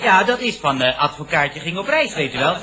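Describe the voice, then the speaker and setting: An actor playing a deferential, naive office underling, hesitant and earnestly literal, in a radio comedy. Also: male voice, fairly high pitch, very animated, brisk, background noise. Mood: eager, earnest. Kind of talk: 1970s Dutch radio comedy acting. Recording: old radio recording, audience behind.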